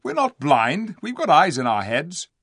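A man acts out a blunt, indignant storybook schoolboy. He exclaims hotly in a raised pitch that jumps about, with a dry, close sound. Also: expressive, moderate pace, fairly bright tone, slightly rough, no room sound. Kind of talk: dramatised storybook reading.

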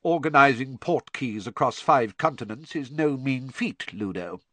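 A man's voice: medium pitch, lively intonation, unhurried, a bit bright, no echo, voice pushed up. Guy doing a fussy old wizard bureaucrat for a storybook, clipped n formal, bit of impatience, close dry recording. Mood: curt, stiff. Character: stiff, impatient, rule-bound elderly male wizard bureaucrat.

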